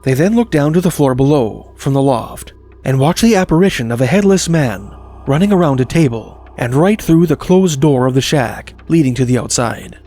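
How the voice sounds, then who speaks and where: A man narrating scripted documentary stories of eerie places in a measured, formal, articulate storytelling manner. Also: mid-range voice, expressive, warm tone. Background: music.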